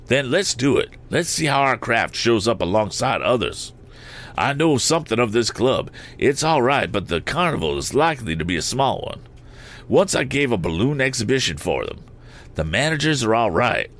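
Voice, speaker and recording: A man voicing a seasoned, practical balloonist in an adventure audiobook, brisk and matter-of-fact. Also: medium pitch, sing-song, fairly bright tone, voice pushed up. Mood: game, decided. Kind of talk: audiobook character voice.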